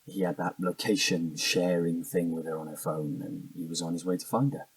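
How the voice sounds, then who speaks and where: An actor playing an evasive, defensive cheating husband in a naturalistic audio drama, protesting and stammering when cornered. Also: male voice, low-pitched, steady tone, normal speed, slightly rough, dry recording, recorded from a distance, exaggerated voice, rough recording. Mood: cornered, irritated.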